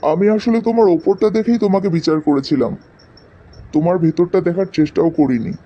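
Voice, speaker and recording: A man's voice: high-pitched, lively intonation, fast. A man voicing a hurt, defiant young man in love, in cartoon drama dialogue. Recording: slightly dull sound, faint noise.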